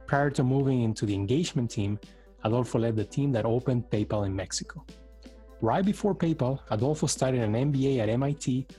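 A man's voice: medium pitch, warm tone. A man hosting an interview podcast, presenting and putting questions to a guest. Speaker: podcast host. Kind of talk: scripted introduction and interview.

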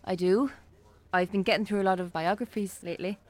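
An actress with an Irish accent playing a friendly, composed, bookish shop worker in a naturalistic radio drama. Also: female voice, medium pitch, fairly even intonation, fairly quick, a little mellow, natural voice.

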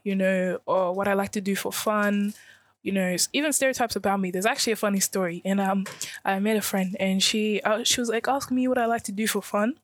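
A woman's voice: mid-range voice, steady tone, fairly quick, no room sound. A teenage girl co-hosting a youth panel discussion, speaking earnestly and thoughtfully, often restarting phrases as she explains. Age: teens. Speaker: youth podcast co-host.

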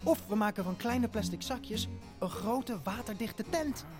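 An actor playing an excitable middle sibling, telling a fairy tale in an exclamatory, theatrical way for children. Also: male voice, high voice, a little slow, over music. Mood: excited.

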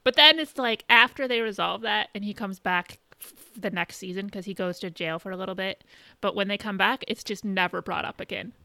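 A woman podcast co-host chatting casually in a halting, conversational way, recapping what she has been watching. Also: medium pitch, vocal fry, bright, forceful tone, no room sound. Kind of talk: casual chat, recapping shows and films.

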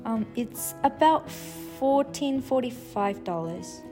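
A woman's voice: a little high, animated, slow, over music. A woman in her twenties with a slight Australian accent, answering interview questions in English that is not her first language, with many "um"s and "like"s. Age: 20s. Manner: chatty, many fillers like "um" and "like". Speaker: interviewee, university student.

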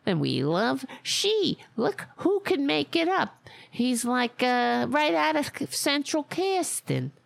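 A woman's voice: high voice, very animated, takes it slowly, creaky, dry recording, pitched above the performer's own voice, heavily acted. A woman putting on a brash older Staten Island widow with a thick local accent, in a satirical, mocking monologue. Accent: Staten Island, New York. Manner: sarcastic, exaggerated, mocking persona.

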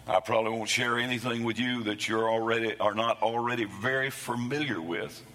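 Hoarse preacher in a reverberant sanctuary. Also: male voice, medium pitch, fairly even intonation, fairly quick, pushed and bright. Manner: folksy, self-deprecating humor. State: hoarse, losing his voice. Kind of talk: sermon. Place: church sanctuary.

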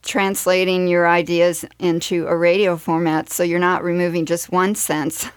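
A woman's voice: on the low side, little pitch movement, moderate pace, no room sound, clean studio sound. A woman hosting a radio interview and introducing clips. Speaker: radio show host and interviewer.

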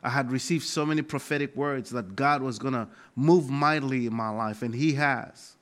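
A middle-aged man with a Malawian accent preaching a sermon as a pastor, emphatic and deliberately repeating key phrases. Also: mid-range voice, fairly bright tone.

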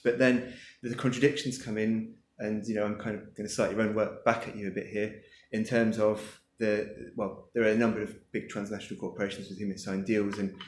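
Low-voiced author in an echoey room. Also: male voice, little pitch movement, fairly quick, hardly any pauses, a little hiss or hum. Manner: hesitant, thinks aloud with many fillers. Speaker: author at his book launch. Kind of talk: answering audience questions. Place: lecture room with an audience.

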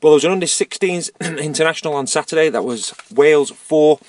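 A man who is losing his voice, reading amateur rugby league results and fixtures in a steady, list-like way. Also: a little high, a little fast.

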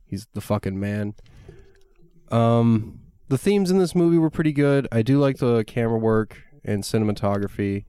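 A man's voice: medium pitch, animated, normal speed, warm tone, clear, resonant tone, dry recording, studio quality. A young man chatting casually about a film, rambling with lots of fillers and swearing freely. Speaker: podcast co-host reviewing a film. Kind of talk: casual chat.

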